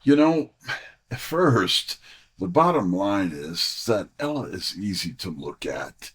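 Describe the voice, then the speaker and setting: An actor playing a wry, breezy detective's assistant in an audio drama, with a theatrical, wisecracking delivery. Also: male voice, low voice, sing-song, slow, fairly bright tone, breathy edge, full character voice. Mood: smitten. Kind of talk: full-cast audio drama, detective narration.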